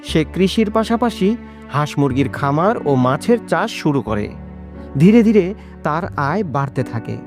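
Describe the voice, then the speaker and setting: Mellow, lively male storyteller. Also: a little high, measured pace, soft background music. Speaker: narrator. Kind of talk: scripted moral-story narration.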